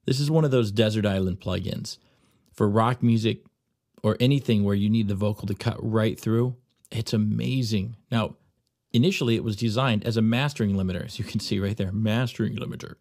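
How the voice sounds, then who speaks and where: A man presenting an audio software tutorial, narrating instructional steps as he demonstrates on screen. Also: fairly low pitch, brisk, dry recording, studio quality.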